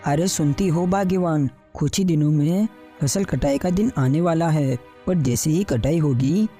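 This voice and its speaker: A man's voice: a little high, dark tone, close to an everyday voice. A man playing a generous, humble farmer and husband in a kids' cartoon story, speaking earnestly. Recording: music under the voice.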